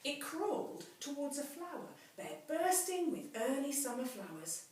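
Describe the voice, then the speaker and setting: Echoey, expressive woman storyteller. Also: sits high, far from the mic. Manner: dramatic, with hushed, drawn-out phrase endings. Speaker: teacher reading a story to children. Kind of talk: expressive children's storybook narration. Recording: very echoey room, off mic, faint hiss.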